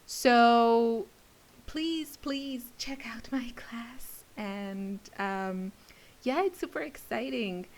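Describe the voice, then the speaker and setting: Slow-paced rambling, giggly woman chatting. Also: on the high side. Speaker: craft video creator and class teacher. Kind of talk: casual rambling chit-chat to camera.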